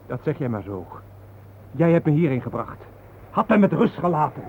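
An actor playing a troubled, weary family man, earnest, halting and defensive, in a naturalistic radio drama. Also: fairly high pitch, very animated. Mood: agitated, defensive. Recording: tinny narrow-band old radio recording, hissy.

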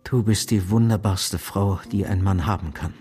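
A man's voice: low voice, normal speed, mellow, natural voice. Male actor as agitated upper-class son, overwrought, effusive, radio mystery, long reverb, music behind. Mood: distraught, effusive. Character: overwrought, emotional grown son of a dead patriarch. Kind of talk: radio crime drama acting.

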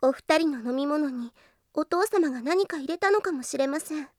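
A woman's voice: high-pitched, expressive, moderate pace, a little mellow, no room sound, studio quality. A woman playing a demure, devoted, very polite young heroine in a Japanese anime audio drama, speaking hesitantly. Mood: apologetic, wistful. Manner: very polite, hesitant, apologetic speech.

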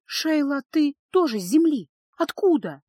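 A woman's voice: a little high, expressive, moderate pace, darkish tone, no room sound, studio quality, pitched above the performer's own voice. A woman voicing a frightened, homesick eighteen-year-old captive girl in an audiobook, pleading and sobbing. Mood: shocked, excited.

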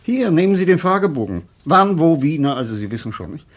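An actor playing a brisk, self-assured publisher in a radio play, talking in a businesslike, persuasive way. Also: male voice, a little high, very animated. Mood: eager, persuasive.

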